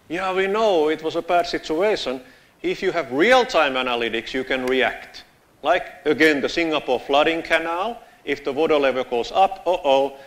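A man with a Finnish accent talks through a conference presentation in a large lecture theatre, his voice at an ordinary mid pitch. He keeps it casual and joking and gestures as he walks the stage. His lapel mic keeps the voice close. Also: fairly bright tone. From Finland. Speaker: conference speaker. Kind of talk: conference tech talk.

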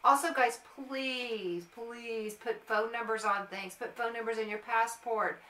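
Casting: middle-aged American woman, informal vlog-style advice giver, bright crisp-edged tone, recorded slightly off-mic. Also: a little high, fairly even intonation, measured pace. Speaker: airport agent and vlogger. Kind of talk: casual advice to camera. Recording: roomy, echoey, distant mic.

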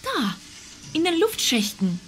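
A woman's voice: sits high, wildly swooping pitch, slow, a bit bright, a little hiss or hum, exaggerated voice. An actress playing a wary, earnest woman in a German crime radio drama, questioning and insistent. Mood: alarmed, urgent.

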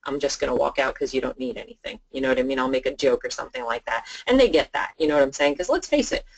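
An insurance sales trainer gives an informal talk to colleagues on a conference call. The delivery is chatty and conversational, often playing out what would be said to clients. The voice sits at a middling pitch. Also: quick pace, vocal fry, poor audio. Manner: informal, chatty, role-plays client dialogue.

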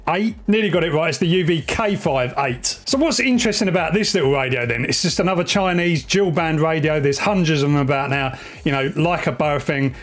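A man in his forties presenting a casual, enthusiastic, informal tech review and explaining as he goes. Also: on the high side. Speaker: amateur radio vlogger and presenter. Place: small indoor room, home radio shack.

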